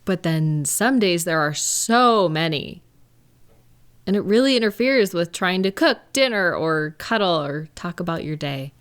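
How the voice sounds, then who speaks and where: A woman playing a caring, reassuring live-in partner in an intimate audio roleplay, speaking gently and conversationally with thoughtful, hesitant pauses. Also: fairly low pitch, animated, clear, resonant tone. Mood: gentle, understanding. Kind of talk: intimate one-sided comfort roleplay, conversational acting.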